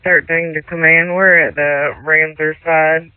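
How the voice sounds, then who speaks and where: A man's voice: on the high side, flat delivery, a little slow, clean tone, no room sound. A man speaking as an emergency responder, calling command over radio. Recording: tinny, dry two-way radio transmission.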